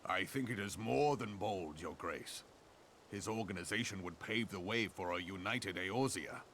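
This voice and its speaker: A steadfast military commander from a fantasy game, his voice deep and hard-edged. He speaks in formal, courtly phrases, measured and reassuring. A slight hiss sits under the recording. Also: a bit bright. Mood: measured, respectful. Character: loyal, measured male general counselling his sovereign. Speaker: game character, military general. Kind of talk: scripted game cutscene acting, formal archaic drama.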